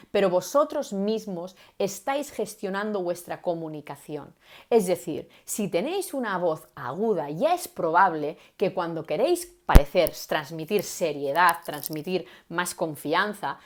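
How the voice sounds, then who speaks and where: A woman's voice: medium pitch, darkish tone. Woman, communication coach, mid-range voice, demonstrative, chatty live-stream delivery. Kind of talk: live-stream teaching talk.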